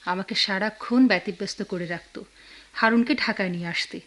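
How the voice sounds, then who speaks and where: An actress with a Bangladeshi accent playing a tender, motherly married woman in a radio drama, soft-hearted and expressive. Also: mid-range voice, a little fast, natural voice. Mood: fond, approving. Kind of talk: Bengali radio drama acting.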